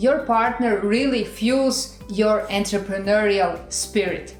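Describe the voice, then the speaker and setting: A woman's voice: mid-range voice, a little slow, echoey room, soft background music. Earnest Croatian-accented woman coach. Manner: earnest, encouraging, non-native phrasing. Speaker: relationship coach, co-host. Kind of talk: casual advice talk.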